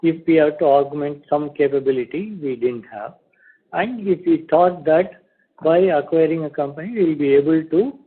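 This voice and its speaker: Higher-pitched husky man on a phone. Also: moderate pace. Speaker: company executive. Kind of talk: off-the-cuff answers on an earnings call. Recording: narrowband conference phone line.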